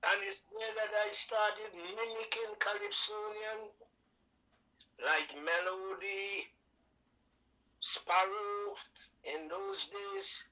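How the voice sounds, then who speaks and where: A man's voice: sits high, animated, drawn-out words, long pauses, bright, forceful tone, low-quality recording. An older man of about ninety from Tobago with a Trinidad and Tobago accent, telling his life story in a rambling, devout, folksy way. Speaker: guest, veteran and calypso singer. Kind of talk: casual interview storytelling.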